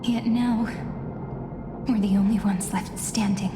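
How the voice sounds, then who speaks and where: Casting: actress as solemn game heroine, flat and slow, echoing space with noisy ambience. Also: female voice, mid-range voice, close to an everyday voice. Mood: somber. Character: somber lone surviving heroine. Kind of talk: video game voice acting. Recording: echoey hall reverb, noisy ambience behind.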